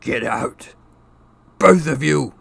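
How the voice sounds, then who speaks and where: Man voicing a blustering middle-aged uncle, croaky, snapping, tense, angry, panicky, audiobook character. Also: medium pitch, animated, slow pace, pitched above the performer's own voice, exaggerated voice. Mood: furious. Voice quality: croaking, forceful. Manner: sneering, snapping, roaring.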